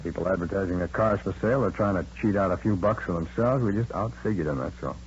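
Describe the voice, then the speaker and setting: An actor playing a defiant, blustering American crook who justifies himself, in the manner of 1950s radio crime drama. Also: male voice, low-pitched, fast talker, lightly acted. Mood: bitter, boastful. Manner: blustering, evasive, self-justifying. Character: defiant, cornered male car-theft racket mastermind. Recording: dull vintage radio recording, faint hum.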